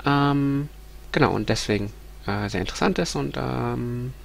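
Congested male lecturer, 30s, hesitant and halting, gravelly texture, desk mic. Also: mid-range voice. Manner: hesitant, many ums and restarts. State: stuffy nose from a cold. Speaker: university lecturer. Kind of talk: recorded lecture with slides. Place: small room at home.

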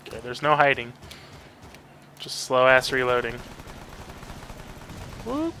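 Bright-voiced man grumbling through a game. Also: medium pitch, sing-song, slow pace, a little hiss or hum. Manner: sweary, grumbling reactions to play. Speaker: video game player. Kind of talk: casual gameplay commentary.

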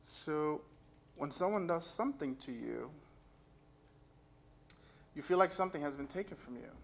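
A man's voice: on the high side, expressive, normal speed, vocal fry. A young man preaching from a church pulpit, sharing his own story and reading scripture aloud with earnest feeling. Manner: earnest personal testimony, reads scripture aloud. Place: church sanctuary stage. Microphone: stand mic at pulpit, far camera.

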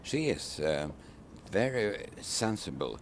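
A man, a ship captain speaking halting, word-searching English in an outdoor interview on a waterfront, into a handheld mic. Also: low voice, big pitch swings, slight background noise. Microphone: handheld mic held by interviewer.

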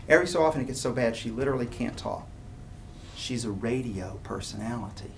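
Older man, college professor, medium pitch, informal classroom lecture, conversational, rhetorical check-ins, slightly noisy recording. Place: university classroom, standing at lectern. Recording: classroom recording with clear background noise.

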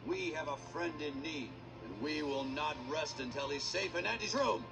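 Gravelly heroic toy commander. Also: male voice, fairly high pitch, animated, moderate pace. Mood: rousing. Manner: declamatory, heroic, rousing. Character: brave, commanding toy leader rallying his troops. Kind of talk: animated film acting. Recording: distant playback off a screen, music behind.

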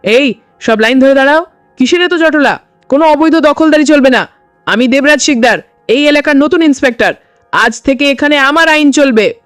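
An arrogant police inspector, acted out by a woman storyteller barks commands with contempt. The pitch jumps high, and the sound is dry with music beneath, like an audio drama. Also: normal speed, no room sound, lightly acted. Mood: commanding. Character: arrogant, bullying male police inspector barking orders.

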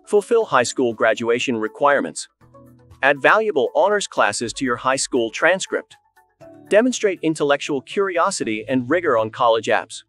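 A man's voice: medium pitch, normal speed, soft background music. A man in his thirties, a school presenter, giving a polished scripted promotional talk to camera in a studio. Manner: polished, even delivery to camera. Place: studio, voice-over on graphics.

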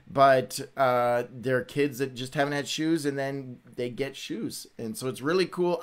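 A man's voice: mid-range voice, clean tone. A middle-aged man hosting a livestream in casual, rambling, informal chat. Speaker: host of a Bible read-along livestream.

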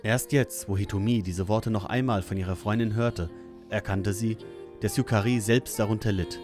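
Low-pitched male audio drama narrator. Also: fairly even intonation, moderate pace, music in the background.

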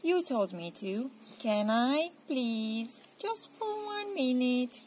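A woman's voice: high voice, sing-song, slow, slight background noise, voice pushed up, heavily acted. A woman voicing an eager little elephant child in a storybook, pleading and insistent.

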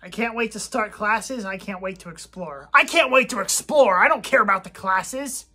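A man's voice: high-pitched, moderate pace, sharp tone, full character voice. A man playing a boastful, touchy young gamer who talks cocky and gets defensive when teased. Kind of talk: comedy gameplay sketch.

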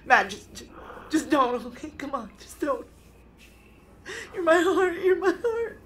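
Hard-edged woman on the run, intense first-person noir sci-fi drama, halting urgency, noisy vehicle ambience. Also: high-pitched, big pitch swings, a bit bright, full character voice. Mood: distraught. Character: tough, desperate female fugitive narrating a sci-fi heist.

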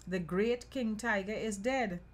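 A woman's voice: mid-range voice, a little slow, natural voice. Woman as gossipy market townsfolk, storybook, emphatic, matter-of-fact.

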